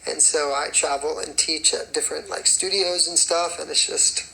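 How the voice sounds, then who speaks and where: A raspy young man, a singer and choreographer, chatting casually on a phone livestream and rambling with many fillers. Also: fairly high pitch, fairly even intonation, moderate pace, sharp tone, poor audio. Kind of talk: casual livestream chat answering comments.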